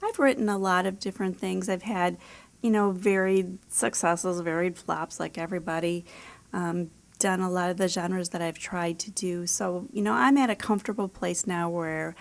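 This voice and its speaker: A woman's voice: on the low side, fairly even intonation, normal speed, vocal fry. A middle-aged American woman author answering interview questions in an informal, conversational way, sometimes voicing imagined speakers. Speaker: author and editor being interviewed.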